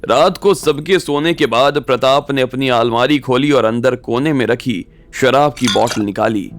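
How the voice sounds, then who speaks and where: Medium-pitched male story narrator. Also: unhurried, a little hiss or hum. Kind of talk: scripted audio story narration. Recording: music bed behind the voice.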